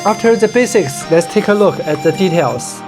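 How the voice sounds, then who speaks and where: A man's voice: sits high, moderate pace, music in the background. A man with a Chinese accent, a non-native English speaker, giving a plain, straightforward scripted review of running shoes. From China. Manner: plain, straightforward, steady delivery.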